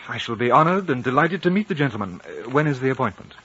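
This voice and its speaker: An actor playing a polite, self-possessed traveler with an articulate, urbane manner, in 1950 radio drama acting and narration. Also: male voice, mid-range voice, big pitch swings, quick pace, fairly bright tone, slight background noise. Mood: polite, eager. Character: self-possessed British traveler, a man, curious, polite, firm. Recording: dull 1950 radio broadcast.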